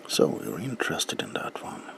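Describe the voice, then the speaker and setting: A man whispering slowly and deliberately in an ASMR roleplay as a politely attentive bookseller, with a breathy, low voice. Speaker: ASMR performer playing a bookseller.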